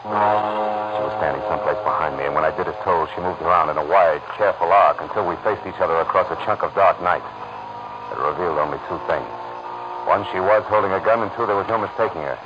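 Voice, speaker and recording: A man's voice: deep voice, fast talker, close to an everyday voice. A man playing a tough, wisecracking private detective in 1940s radio noir, with clipped, wry, slangy delivery. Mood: wry narration. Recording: muffled, very noisy vintage radio recording.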